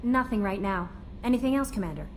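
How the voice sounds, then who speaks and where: An actress playing a friendly young female ship aide in a video game, soft-spoken and polite. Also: mid-range voice, lively intonation, quick pace, noisy background, natural voice.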